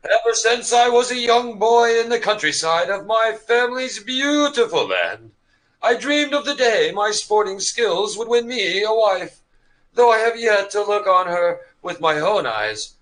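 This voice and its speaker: A man's voice: high-pitched, sing-song, measured pace, a bit bright, heavily acted. A man playing an earnest, chivalrous young knight in a comic radio play, speaking in formal, pompous declamations. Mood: earnest, gallant.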